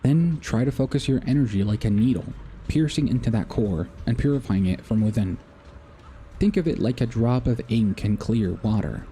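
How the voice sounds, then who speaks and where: A man's voice: medium pitch, moderate pace, dark tone, slight background noise, natural voice. A man voicing an earnest, hesitant mentor from a game as he reads its dialogue aloud. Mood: patient teaching.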